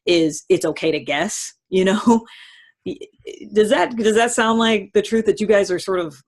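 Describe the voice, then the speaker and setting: A woman with a husky voice speaking as the host of a conversational one-on-one discussion. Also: mid-range voice, measured pace. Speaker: host of a personality-type dialogue series. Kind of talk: conversational interview.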